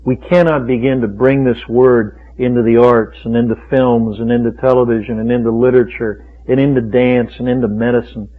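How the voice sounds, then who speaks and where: A man's voice: mid-range voice, steady tone, fairly quick, few pauses. A man preaching a fiery sermon, emphatic and rhetorical, driving points home with repeated phrases. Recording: tinny phone-like radio recording.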